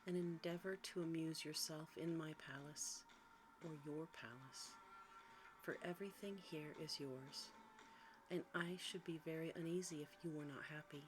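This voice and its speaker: A meek, lonely storybook monster as a woman reads him, speaking low and hushed. He is courteous, self-deprecating and quietly sad, with faint noise behind the voice. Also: steady tone, normal speed, lightly acted. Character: humble, gentle, melancholy male beast, a lonely monster.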